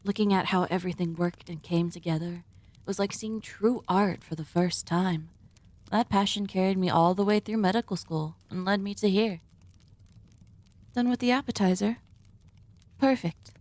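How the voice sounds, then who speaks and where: A woman playing a polite, sinister female cannibal surgeon hosting dinner in an ASMR roleplay, speaking in formal, deliberate phrases. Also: medium pitch, darkish tone, no room sound, natural voice. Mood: wistful, confiding, then attentive, gracious. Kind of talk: ASMR roleplay, scripted monologue addressed to listener.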